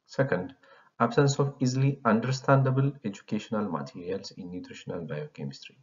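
Deep gravelly man lecturing over slides. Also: fairly quick. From Ethiopia. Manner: reads slides in an even, formal lecturing tone. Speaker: channel founder and lecturer. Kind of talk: scripted slide presentation. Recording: dull lo-fi voice recording.

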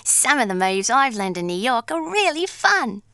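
A woman's voice: a little high, sing-song, moderate pace, sharp tone, no room sound, clean studio sound, full character voice. A woman playing a sporty, peppy teenage girl with an Australian accent in a video game. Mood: peppy, bold. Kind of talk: scripted game character lines.

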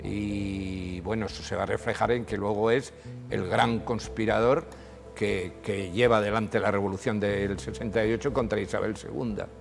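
Hombre mayor de voz rasposa, narrador de historia. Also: male voice, moderate pace, long reverb tail, soft background music. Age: older. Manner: animated storytelling, rises in pitch when emphasizing. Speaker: historian guest. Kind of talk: studio history discussion. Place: TV studio. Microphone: lapel.

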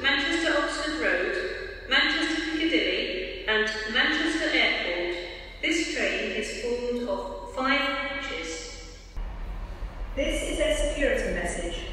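Slow echoey station PA woman. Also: a little high, fairly bright tone, a little hiss or hum. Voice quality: measured, evenly spaced delivery. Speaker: automated station announcer. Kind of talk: automated railway announcements. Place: large railway station platform. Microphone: phone or camera recording a PA speaker from afar. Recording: echoey station PA heard from far off. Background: station ambience.

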